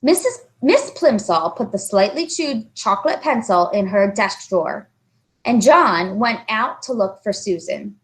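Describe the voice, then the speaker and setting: Animated woman reading a children's story. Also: mid-range voice, measured pace. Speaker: read-aloud storyteller. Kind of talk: expressive children's book narration.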